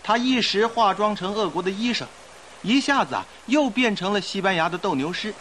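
An actor playing a confident, theatrical gentleman amateur detective in a radio drama, explaining things emphatically. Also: high voice, expressive, a little fast, slight background noise. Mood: animated storytelling.

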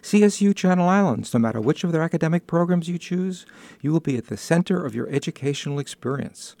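A man's voice: on the high side, animated, quick pace, gravelly, warm tone, dry recording. A man with a New York accent hosting a radio interview, chatty and joking at his own expense. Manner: chatty, joking, self-deprecating. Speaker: radio host. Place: radio studio.

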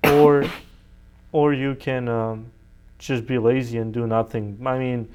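A young man from Serbia whose first language is Serbian speaks in a clean, smooth voice at a medium pitch. He is a college student giving conversational answers as an interview guest. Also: steady tone, takes it slowly, darkish tone, highs cut off. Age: young adult.

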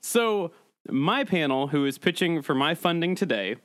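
A man hosts a comedic panel podcast in a casual, chatty style. His voice is a bit on the high side, captured close and dry with no room sound. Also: expressive, moderate pace, fairly bright tone, no room sound. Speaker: podcast host.

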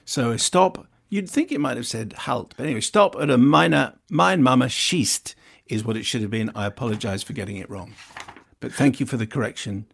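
A man with a noticeably creaky, mid-pitched voice presents a radio show. He reads out correspondence conversationally, slipping in dry asides as he goes. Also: normal speed, fairly bright tone. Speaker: radio film show host. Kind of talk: chatty presenting, reading listener emails aloud.

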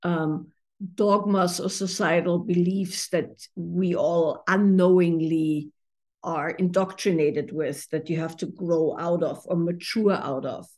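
Thoughtful woman from Austria, lowish voice. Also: fairly even intonation, takes it slowly, a little mellow. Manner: thoughtful, many fillers, laughs easily. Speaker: intimacy therapist and author. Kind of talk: reflective interview answers.

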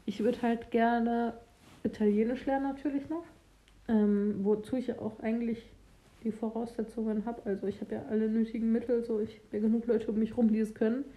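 A young woman chatting candidly in casual conversation, with some halting restarts. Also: a little high, flat delivery, dull audio. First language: German.

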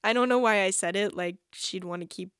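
A woman in her twenties talks as a solo podcast host in a chatty, informal way. Her voice sits at a middle pitch for a woman and peppers sentences with "like". The sound is dry, with hardly any room echo. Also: a little fast, fairly bright tone, clean tone. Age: 20s. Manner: chatty, informal, heavy use of "like". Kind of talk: casual solo podcast chat.

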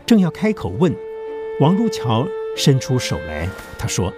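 A man's voice: medium pitch, wildly swooping pitch, a little slow. A man narrating a scripted story in Mandarin as the storyteller of an audio drama. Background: background music.

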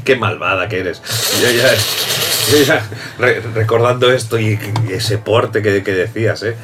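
A man's voice: fairly low pitch, measured pace, very noisy. A man hosting a casual film chat, talking loosely, laughing often and restarting sentences. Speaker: host. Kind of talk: casual chat about films.

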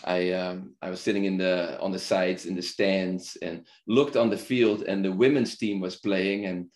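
A middle-aged man from the Netherlands, a podcast guest, telling his story in a relaxed, rambling way full of fillers and restarts. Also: sits low, normal speed. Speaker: podcast guest, football club founder. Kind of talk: casual conversational storytelling.

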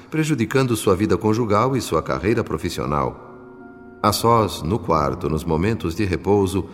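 A Brazilian man narrating a scripted radio drama in a solemn, measured, literary manner. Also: deep voice. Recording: reverberant sound with music bed. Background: faint music.

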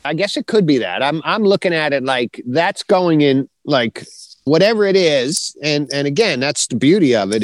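Man, medium pitch, casual conversational co-host delivery, dry echo-free recording. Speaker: co-host of a writing show.

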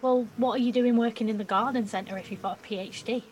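An actress playing a blunt, giddy nineteen-year-old apprentice in an audio drama, with a cheeky, informal way of talking. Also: female voice, on the high side, steady tone, fast, darkish tone, a little hiss or hum, lightly acted. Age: young adult. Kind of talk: naturalistic audio drama acting.

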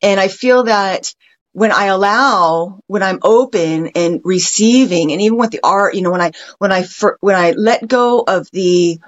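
A woman's voice: mid-range voice, measured pace, muffled recording. An American woman, a guest artist, talking in a casual podcast chat, reflective and meandering with frequent restarts. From United States.

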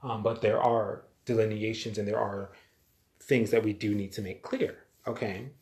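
A man's voice: lowish voice, steady tone, normal speed, darkish tone. An American man delivering a blunt, exasperated, lecturing opinion monologue straight to his audience. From United States. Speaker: vlogger giving opinions. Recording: roomy echo.

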